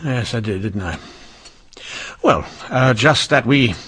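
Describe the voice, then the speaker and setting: An earnest Victorian police inspector in a radio mystery. His pitch moves in lively swings as he puzzles things out, polite and inquisitive. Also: slow pace, breathy edge, heavily acted. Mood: gentle, careful. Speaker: radio drama actor. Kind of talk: old-time radio mystery acting.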